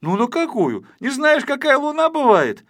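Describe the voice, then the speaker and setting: A man's voice: very high-pitched, wildly swooping pitch, normal speed, fairly bright tone, dry recording, studio quality, pitched above the performer's own voice, heavily acted. A man voicing a cheerful, cocky little boy adventurer from a storybook, eager and exclamatory. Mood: excited, teasing.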